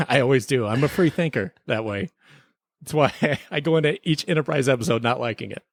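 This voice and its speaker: A man hosts a casual review podcast in a mid-pitched voice. He talks in a joking, mock-serious way. The recording is dry, with hardly any room sound. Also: a little fast, vocal fry, dry recording. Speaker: podcast host. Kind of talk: casual TV episode review chat.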